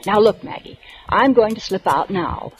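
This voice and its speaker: An actress playing a plucky, prim amateur sleuth, anxious but determined, in an old-time radio suspense drama. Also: female voice, mid-range voice, lively intonation, moderate pace, close to an everyday voice. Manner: polite, precise, flustered. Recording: noisy vintage radio recording.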